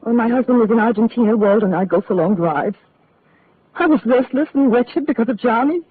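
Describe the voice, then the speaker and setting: A woman playing a troubled, wistful married woman in distress, speaking softly and mournfully in 1940s radio acting. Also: on the high side, animated, brisk, husky, dry recording. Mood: hushed, wistful. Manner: soft, hushed, mournful. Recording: tinny old radio broadcast, faint hiss.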